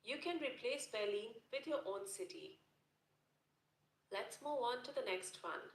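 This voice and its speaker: A woman teaching German phrases in a scripted lesson narration, repeating each phrase slowly and carefully. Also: fairly high pitch, lively intonation. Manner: slow, careful repetition of phrases. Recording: roomy echo.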